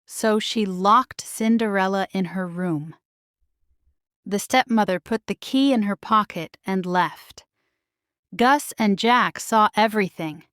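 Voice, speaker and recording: A woman narrating a children's storybook in a measured, expressive, scripted read-aloud style. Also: medium pitch, clear, resonant tone, dry recording, clean studio sound. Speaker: storybook reader.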